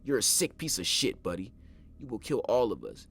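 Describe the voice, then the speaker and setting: A man shouting as a furious young brother. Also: on the low side, very animated, normal speed, voice pushed up, heavily acted. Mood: screaming. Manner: screaming, swearing. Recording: clear background noise.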